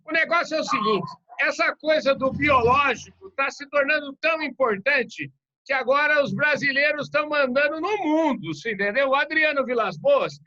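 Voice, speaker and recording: A man's voice: very high-pitched, expressive, moderate pace, bright, forceful tone. A man hosting a podcast interview in a casual, chatty and jokey way. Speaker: podcast host. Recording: dull lo-fi sound.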